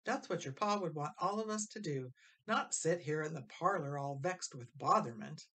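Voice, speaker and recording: A woman's voice: low-pitched, moderate pace, a bit bright. A woman voicing a hearty, bustling farm mother in a storybook, reassuring and folksy in her old-fashioned country phrasing. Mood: brisk, rallying.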